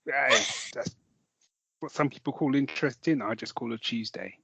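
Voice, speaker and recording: A man's voice: mid-range voice, moderate pace, creaky, pushed and bright, slightly rough, no echo, lo-fi sound. A man co-hosting a podcast in casual chat, jokey and self-mocking, with some swearing. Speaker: podcast co-host. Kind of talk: casual chat, recommending audio dramas.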